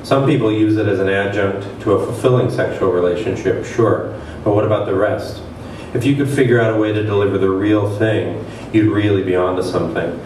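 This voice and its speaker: A man's voice: on the low side, a little fast, hardly any pauses, darkish tone. A man in his thirties giving a dramatic reading of satirical fiction on stage. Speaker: reader at a literary event. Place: stage of a small event room with an audience. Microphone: table microphone on a stand. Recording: very echoey hall, distant mic, noisy.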